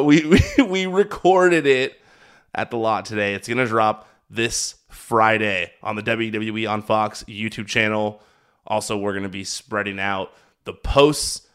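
A man hosting a wrestling podcast, talking in an energetic, hyped-up, chatty way while recovering from being sick. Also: medium pitch, smooth. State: recovering from illness. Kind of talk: casual podcast recap.

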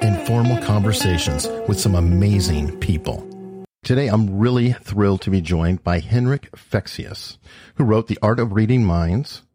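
Male podcast host, low husky voice, upbeat interviewer, dry booth sound. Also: a little mellow, no echo. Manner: upbeat, enthusiastic questioning. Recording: dry close-mic sound.

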